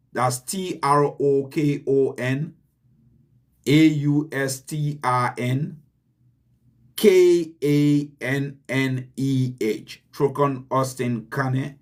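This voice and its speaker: A man with a Liberian accent delivers a motivational message in an unhurried, deliberate way. His voice sits at an ordinary middle pitch. He speaks earnestly and repeats key lines to drive them home. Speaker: channel host. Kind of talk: motivational address.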